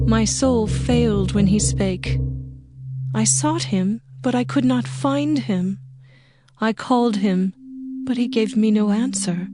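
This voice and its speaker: A woman's voice: mid-range voice, lightly acted. A woman playing a lovesick young bride in a dramatized scripture reading, speaking in a yearning, poetic way with music under her.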